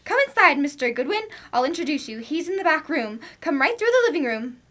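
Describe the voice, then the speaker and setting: A woman's voice: sits high, sing-song, brisk, fairly bright tone. An actress playing a distraught, bitter young woman in an old radio mystery, intense and overwrought. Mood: bitter, numb. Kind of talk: 1950s radio drama acting.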